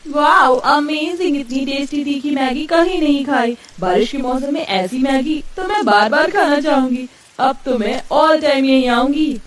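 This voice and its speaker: A woman plays an excitable cartoon newlywed. Her voice is very high, bubbly and gushing, with a coquettish touch. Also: unhurried, echoey room, far from the mic. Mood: delighted. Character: bubbly young newlywed wife, excitable food lover.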